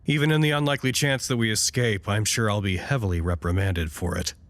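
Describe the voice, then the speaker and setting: A man's voice: on the low side, fast talker, fairly bright tone, close to an everyday voice. A man playing a wry, sardonic stranded doctor in an audio drama, speaking with dry, articulate sarcasm. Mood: wry, weary. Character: wry, sardonic male doctor stranded at a cut-off research site. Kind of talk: audio drama acting, conversational.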